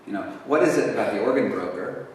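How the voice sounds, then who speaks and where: A man answering audience questions at a university talk, casual and halting, with many restarts. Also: fairly low pitch, lively intonation. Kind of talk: lecture Q&A. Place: university lecture hall. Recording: echoey hall from far off, faint noise.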